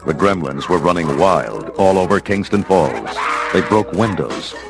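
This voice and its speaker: Deep man narrating a storybook record, dramatic, music playing behind him. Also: very animated, measured pace, vocal fry.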